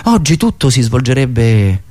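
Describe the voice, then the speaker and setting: A man delivering a theatrical political monologue in a dramatic, emphatic manner, with deliberate pauses. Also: medium pitch, very animated, a little mellow. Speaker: satirical stage performer and radio host.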